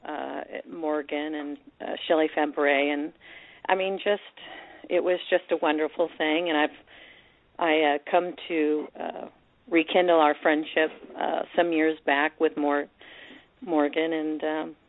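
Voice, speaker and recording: A woman's voice: deep voice, flat delivery, unhurried, no echo. A woman in her fifties chatting casually on a call-in show, laughing often as she tells stories. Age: 50s. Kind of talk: casual phone-in chat. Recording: tinny phone line.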